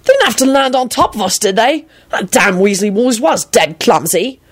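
A woman's voice: sits high, huge pitch swings, racing, vocal fry, darkish tone, breathy edge, voice pushed up, heavily acted. A woman voicing a cheeky, roguish man in a story, breezy and casual. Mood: startled, grumbling.

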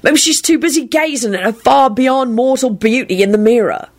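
A woman's voice: on the high side, moderate pace, voice pushed up. A woman voicing an irritable, foul-mouthed young man in a story, snappish and exasperated. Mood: aggravated.

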